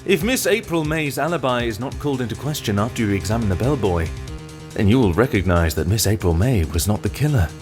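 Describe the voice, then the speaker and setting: A man's voice: fairly low pitch, expressive, brisk, natural voice. A man voicing a smug, formal rival prosecutor in a video game dub, precise and pompous. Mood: stern. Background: music.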